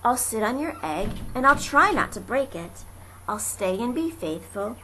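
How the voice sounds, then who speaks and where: A woman voicing a huge, gentle and loyal male elephant from a storybook, speaking earnestly and emphatically. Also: a little high, very animated, normal speed. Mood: earnest, resolute. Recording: noisy amateur home recording.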